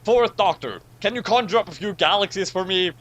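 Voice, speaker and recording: A man's voice: high voice, brisk, a bit bright, voice pushed up. A man voicing a commanding starship captain hero in a declamatory, mock-heroic story reading.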